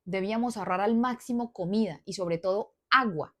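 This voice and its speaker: A woman with a mid-range voice reads a follower's story aloud. Her reading is expressive, and she breaks off now and then for casual asides to her followers. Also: mid-range voice, fairly quick.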